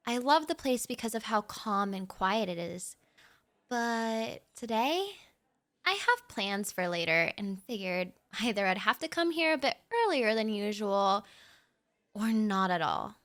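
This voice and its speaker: A young woman plays a bashful writer who shares her table at a café, rambling softly with little nervous laughs. She talks slowly in a gently raised voice. The sound is close and dry, with no room echo. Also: a little high. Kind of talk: audio roleplay.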